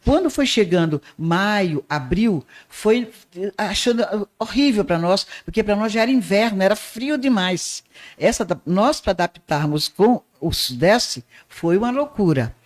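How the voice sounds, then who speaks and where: An older woman from Northeast Brazil with a softened Northeastern accent, telling her life story as an interview guest in an unhurried way. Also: medium pitch, expressive. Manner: unhurried storyteller with small restarts. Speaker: guest, art teacher and radio presenter.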